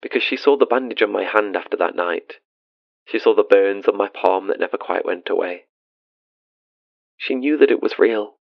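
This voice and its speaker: A dull, dry recording of a haunted, tender father in an audio drama. His voice is low and gravelly, and he tells his ghost story in a hushed, wistful confession. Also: dry recording. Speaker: caller to a radio show.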